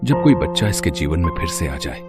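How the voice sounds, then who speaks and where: A man's voice: deep voice, big pitch swings, brisk, loud music behind the voice. A man playing a wealthy, grieving father and husband who speaks in an earnest, pleading and dramatic way in an audio story. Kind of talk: acted dialogue in a Hindi audio moral story.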